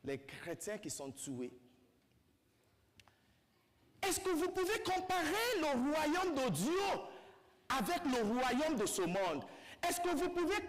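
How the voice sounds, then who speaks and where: High-pitched Nigerian priest preaching in reverberant church. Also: male voice. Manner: rhetorical questions to listeners, repeats phrases for emphasis. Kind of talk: homily to a congregation. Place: large reverberant church indoors.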